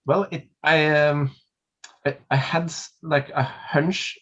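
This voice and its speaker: A man's voice: a little high, fairly even intonation, takes it slowly, a bit bright. A man, answering interview questions over a video call, hesitant with many fillers and small laughs. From Norway. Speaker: singer and documentary subject. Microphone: laptop webcam mic on a video call.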